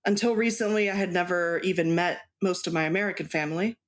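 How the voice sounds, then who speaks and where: A woman's voice: fairly low pitch, fast talker. A woman whose first language is Spanish, a cross stitch designer, talking casually as an interview guest and laughing often. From Mexican household.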